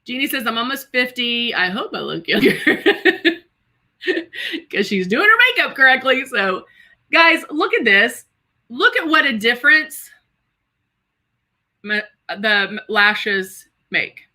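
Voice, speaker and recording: A woman of about fifty with a slightly high, very bright voice hosts a live beauty tutorial. She chats casually and exclaims freely, speaking directly to her viewers as she works. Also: big pitch swings, slow pace, pauses often. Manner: chatty and exclamatory, addressing viewers as girl and guys. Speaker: host of a live makeup tutorial stream. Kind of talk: casual livestream chat while demonstrating makeup and reading viewer comments.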